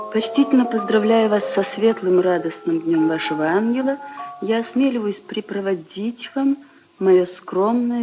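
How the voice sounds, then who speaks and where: An actress playing a refined, reserved princess, composed and curt with servants, in a 1950s Soviet radio drama. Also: female voice, medium pitch, close to an everyday voice, low-quality recording. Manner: composed, haughty, precise.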